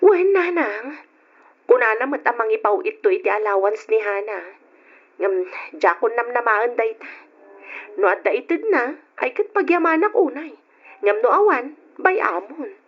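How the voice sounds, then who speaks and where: A woman's voice: fairly high pitch, animated, unhurried, long pauses. A woman playing a devoted, hopeful young single mother with an Ilocano accent, earnest and emotional in an old-time radio drama. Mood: accepting. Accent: Ilocano, from the Philippines. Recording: dull lo-fi old radio recording.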